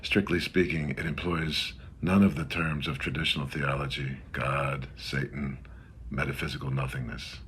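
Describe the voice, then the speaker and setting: A man's voice: rumbling low, fairly even intonation, normal speed, fairly bright tone. A man giving a scripted reading of a mock-scholarly literary essay. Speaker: reader of a literary text. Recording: faint background noise.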